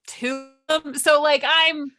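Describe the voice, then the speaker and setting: A woman's voice: sits high, little pitch movement, unhurried, bright, forceful tone, soft background music. A woman co-hosting a casual tabletop gaming chat in a playful, emphatic, bantering way. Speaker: workshop co-host.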